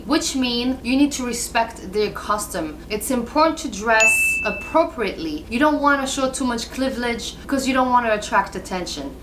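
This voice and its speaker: A woman's voice: a little high, sharp tone, a little hiss or hum. A young woman, born in Morocco and raised in Belgium, giving direct travel safety advice to camera in a conversational vlog. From born in Morocco, grew up in Belgium. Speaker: travel vlogger.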